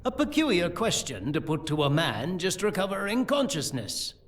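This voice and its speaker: An actor playing a sly, haughty priest villain in a fantasy audio drama, precise and mockingly courteous. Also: male voice, high voice, big pitch swings, moderate pace, fairly bright tone. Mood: sardonic. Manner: mock-courteous, precise, sardonic.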